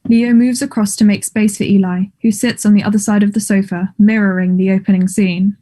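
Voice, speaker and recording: Woman narrating stage directions, mellow warm tone, neutral and plain, play read-through. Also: medium pitch, level tone, fairly quick.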